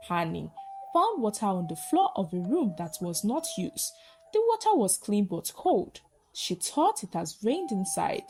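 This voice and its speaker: A woman with a Nigerian accent narrating a dramatic folktale in short, deliberate storybook phrases with pauses. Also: medium pitch, darkish tone.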